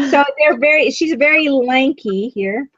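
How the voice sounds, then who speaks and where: A woman's voice: high-pitched, no room sound, muffled recording. Chatty woman painting on a live stream. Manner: chatty, giggly, self-teasing. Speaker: craft stream co-host. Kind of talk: casual chat while painting.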